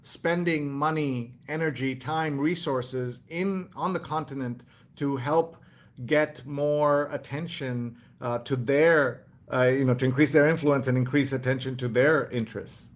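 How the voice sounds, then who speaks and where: Man, mid-pitched, show host, casual live commentary, reads headlines aloud, halting conversational delivery. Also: steady tone. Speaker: host of a newspaper read-along show.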